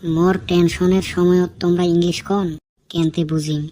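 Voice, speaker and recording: A man's voice: high voice, little pitch movement, normal speed, close to an everyday voice. Foolish young village bachelor played by a man, cheeky bickering, Rangpuri Bengali accent, cartoon comedy, noisy track. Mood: nervous bickering. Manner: bickering, colloquial. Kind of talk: broad cartoon comedy acting.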